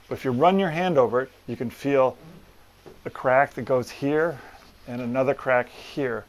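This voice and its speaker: A man with a mid-range voice walks through a repair job in a room of a house. He explains each step plainly and practically, pausing deliberately as he goes. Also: medium pitch. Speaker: plaster repair business owner and presenter. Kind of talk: hands-on walkthrough explanation to camera. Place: room inside an old house.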